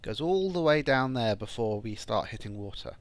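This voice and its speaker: A man with a croaky, phlegmy voice from a cold, talking through a building game in casual commentary and thinking aloud with frequent ums. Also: mid-range voice, expressive, normal speed, a little hiss or hum. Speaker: gameplay channel host. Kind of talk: casual let's-play commentary.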